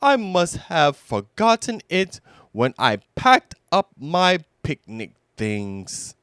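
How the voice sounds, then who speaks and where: Cheerful, generous male bear for a children's storybook, done by a man; high, smooth, earnest. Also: wildly swooping pitch, takes it slowly, a bit bright, no echo, clean studio sound, heavily acted.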